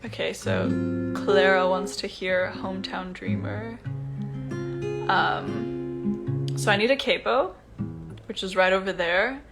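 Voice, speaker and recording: A young woman from Iceland chatting casually on a livestream, soft-spoken and rambling, talking into a phone. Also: mid-range voice, lively intonation, slow pace, long pauses, loud music behind the voice. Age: young adult. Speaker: singer-songwriter on a livestream. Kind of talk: casual chat to viewers.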